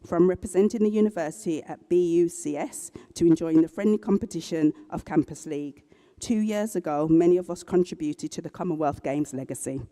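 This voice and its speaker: An interpreter voicing a graduate's speech at a ceremony, delivered in a measured, earnest way with small self-corrections. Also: mid-range voice, dark tone. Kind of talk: formal speech read in a public ceremony. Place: large graduation hall. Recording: long hall reverb.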